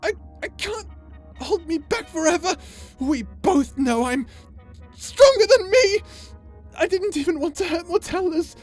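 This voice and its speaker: Tormented lovesick villainess, high pitch, slow halting pace, theatrical, vengeful, sci-fi ASMR roleplay. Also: female voice, animated, a little mellow, faint music behind. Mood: anguished pleading. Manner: theatrical, halting, swinging between tender menace and anguish. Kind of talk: ASMR roleplay audio drama.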